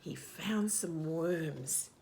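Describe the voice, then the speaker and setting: A woman reading a picture book aloud to young children as a storytime host, expressive, with hushed asides and questions to the listener. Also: deep voice, creaky, slight background noise. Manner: animated, expressive, asks questions and pauses for answers.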